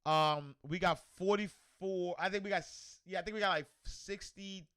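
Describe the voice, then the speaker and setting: Man, New York accent, loud, energetic, bright forceful voice, smooth and resonant, livestream host. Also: high voice, moderate pace. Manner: loud and energetic, reads comments aloud. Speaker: livestream host answering viewer questions. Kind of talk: casual livestream chat. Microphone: desk microphone.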